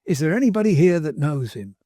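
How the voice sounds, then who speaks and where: A man voicing an excitable little barber who talks fast and high, half laughing and half crying. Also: no echo, clean studio sound. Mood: excited.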